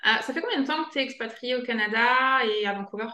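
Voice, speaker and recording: A woman's voice: a little high, fast talker, bright, forceful tone. A woman hosting a business podcast, welcoming her guest and asking brief, direct questions. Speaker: podcast host, marketing advisor.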